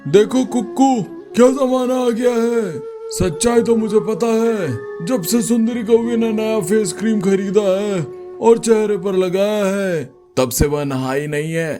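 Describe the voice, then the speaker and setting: A sharp-tongued little busybody bird from a cartoon, done by a man. The voice is pitched extremely high. The delivery is sardonic and knowing, with music behind it. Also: measured pace. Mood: mocking. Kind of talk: Hindi children's cartoon dubbing.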